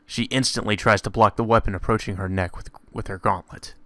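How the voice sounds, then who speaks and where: A man's voice: lowish voice, fairly even intonation, brisk, fairly bright tone, dry recording. A man narrating a visual novel aloud in a dramatic read-aloud style, as a let's play host. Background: music.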